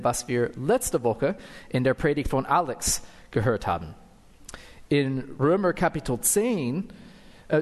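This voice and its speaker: An American man with English as his first tongue preaches in a church hall, taking his time over every phrase. His voice carries a long reverb from the room, and he stops now and then to correct himself. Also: fairly high pitch. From United States. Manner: careful, deliberate, with fillers and self-corrections. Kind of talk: sermon with scripture reading.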